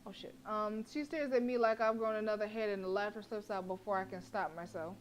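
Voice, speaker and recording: Young woman streamer reading game text out loud, expressive, laughs a lot, talks to chat, slight background hum. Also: mid-range voice, fairly quick. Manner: expressive, laughs often, chats to viewers between read lines. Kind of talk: livestream game playthrough, reading narration aloud.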